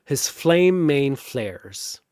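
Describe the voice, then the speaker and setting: A man narrating a scripted battle story in a theatrical, deliberate way, like a dramatic commentator. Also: mid-range voice, sing-song, darkish tone, no room sound, clean studio sound.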